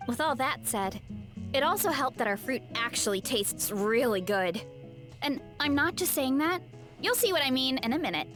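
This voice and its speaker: A chatty, easygoing young woman from a fruit farm in a game. Her voice sits high and bright. Faint music plays under her lines. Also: animated, moderate pace. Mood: friendly. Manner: friendly, chatty storytelling. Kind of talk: game voice acting.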